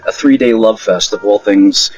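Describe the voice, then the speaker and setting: A man's voice: lowish voice, moderate pace, darkish tone. A man giving an informal thank-you announcement as an event organizer. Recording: slightly dull lo-fi recording.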